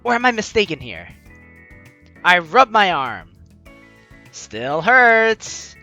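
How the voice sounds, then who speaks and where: A man's voice: high-pitched, very animated, takes it slowly. A man doing casual let's play commentary, reading game text aloud and adding teasing, sarcastic asides. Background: soft game music.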